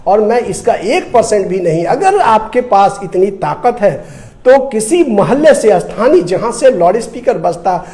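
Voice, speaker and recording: Male debate guest, Bihari Indian accent, high voice, impassioned, repeats phrases for emphasis, rhetorical questions. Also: sing-song, measured pace, mellow. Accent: Indian, Bihar region. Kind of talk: impassioned political commentary.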